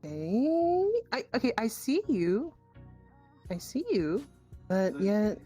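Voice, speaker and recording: A woman's voice: medium pitch, sing-song, takes it slowly, warm tone. A woman chatting casually on a live gaming stream, flustered, apologetic and hesitant. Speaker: host of a virtual-reality roleplay livestream. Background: music.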